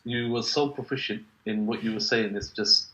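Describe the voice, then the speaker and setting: A man's voice: on the low side, fairly even intonation, normal speed, sharp tone. A man whose first language is Bengali, hosting an interview and rambling with lots of "you know" and "uh". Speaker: podcast host, interviewer. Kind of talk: casual interview chat. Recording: dull lo-fi sound.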